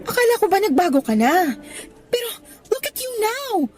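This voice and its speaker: A woman's voice: very high voice, very animated, moderate pace. A woman with a Filipino accent playing a stern, hurt female schoolteacher in a radio drama, scolding emphatically and mixing in English. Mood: hurt, disappointed. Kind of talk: Tagalog radio drama acting.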